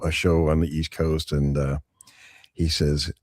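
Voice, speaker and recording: Author guy on a radio show, really low smooth voice, dry close sound. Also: flat delivery, a little slow, darkish tone, dry recording. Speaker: author and podcast host, guest. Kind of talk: interview answers.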